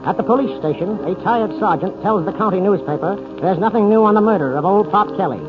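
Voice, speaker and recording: High-voiced radio narrator over music. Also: male voice, quick pace. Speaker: announcer and narrator. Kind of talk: 1940s radio drama narration, scripted. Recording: muffled 1940s radio broadcast.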